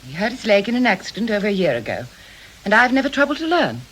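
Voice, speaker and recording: Anxious wife, husky, very expressive. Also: female voice, mid-range voice, exaggerated voice, poor audio. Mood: uneasy. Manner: hesitant, halting. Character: anxious, hesitant wife, worried about her sister. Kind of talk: radio crime drama acting.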